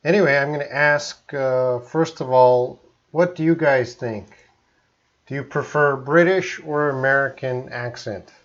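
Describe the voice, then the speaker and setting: A man's voice: medium pitch, measured pace, a bit bright, highs cut off. A man in his forties from Canada with a faint Canadian accent, teaching English on a live stream and chatting with viewers as he reads out their comments. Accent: mild Canadian.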